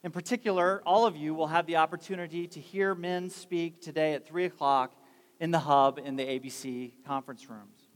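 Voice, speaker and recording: A man's voice: on the high side, steady tone, moderate pace. A man introducing a guest and asking her questions at a campus assembly, in a polite, formal way. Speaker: campus leader hosting the assembly.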